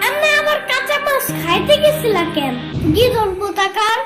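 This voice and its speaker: A woman voicing a small, cheeky, easily spooked child in a comic cartoon, quick to scold back. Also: extremely high pitch, expressive, loud music behind the voice. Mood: sassy, scolding.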